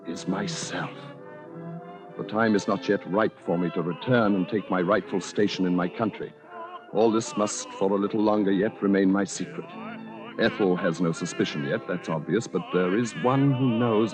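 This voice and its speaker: An actor playing a pompous, delusional husband who fancies himself a prince, speaking formally in a 1940s radio suspense drama. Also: male voice, fairly low pitch, lightly acted. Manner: pompous, grandiose, formal diction. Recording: muffled old radio recording with music.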